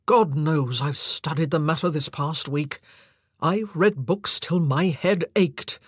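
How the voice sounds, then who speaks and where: A narrator voicing an anguished, impassioned and forceful male monarch in an audiobook drama. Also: mid-range voice, slow, breathy edge, dry recording, clean studio sound. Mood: tormented.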